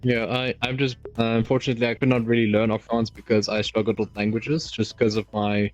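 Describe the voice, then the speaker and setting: A man from South Africa chatting casually as co-streamer, talking in halting bursts with many restarts. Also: on the low side, little pitch movement, muffled recording, faint music behind. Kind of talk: casual chat.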